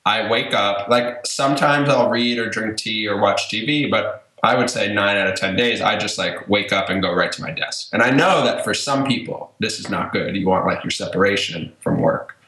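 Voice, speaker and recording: A man's voice: on the low side, moderate pace, hardly any pauses, bright, forceful tone. A man in his early twenties chatting casually as a podcast co-host, loose and chatty, peppering his talk with "like". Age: early 20s. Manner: loose, chatty, filler-heavy with "like". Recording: roomy, echoing sound.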